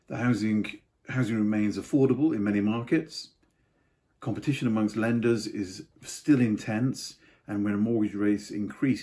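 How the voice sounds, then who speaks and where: A man's voice: fairly low pitch, warm tone. An older man giving a casual market update to camera from a home study, with offhand asides. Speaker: property market commentator.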